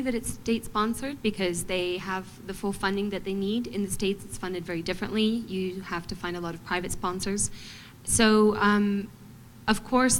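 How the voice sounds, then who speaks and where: A young adult woman from Montana, a ballet artist answering on a panel in a thoughtful, measured way with frequent pauses and fillers. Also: mid-range voice, slight background noise, rough recording. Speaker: guest artist, ballet dancer.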